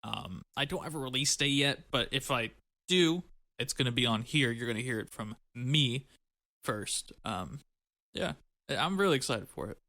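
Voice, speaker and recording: Bright-toned, wry man co-hosting a podcast. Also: mid-range voice, lively intonation, moderate pace, no echo, studio quality. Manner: wry, joking, informal. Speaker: podcast co-host. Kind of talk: casual banter.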